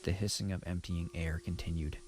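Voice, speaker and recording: A man's voice: low-pitched, little pitch movement, fairly quick, a little mellow, soft background music, lightly acted. A man playing a guilt-ridden, resigned pony, narrating an audio drama in the first person with grave sorrow. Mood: grave, despairing. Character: guilt-ridden adult pony factory worker, ashamed, resigned.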